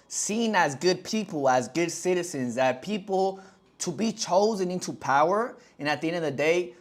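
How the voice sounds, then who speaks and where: A man's voice: high voice, fairly bright tone. Rambling male livestream host. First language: Spanish. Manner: loose and rambling, keeps addressing the chat, lots of fillers. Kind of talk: casual livestream chat.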